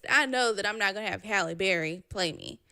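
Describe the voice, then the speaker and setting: A woman's voice: mid-range voice, lively intonation, a little fast, creaky, bright, forceful tone, clear, resonant tone, no room sound, studio quality. A young woman guest on a casual podcast, blunt and sassy, swearing freely as she chats. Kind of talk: casual chat.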